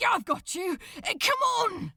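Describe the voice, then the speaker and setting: A woman voicing a desperate, fiercely protective man in an audiobook, urgent, strained and commanding as he shouts. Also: very high-pitched, sing-song, slow, no room sound, studio quality, voice pushed up, heavily acted. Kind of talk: dramatic audiobook character acting.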